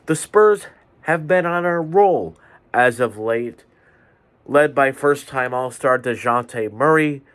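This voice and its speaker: A man hosting a solo sports podcast from his bedroom, a little stuffed up, delivering picks in a measured, deliberate way. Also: medium pitch, fairly bright tone. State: stuffy nose. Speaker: podcast host. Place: home bedroom with fans running.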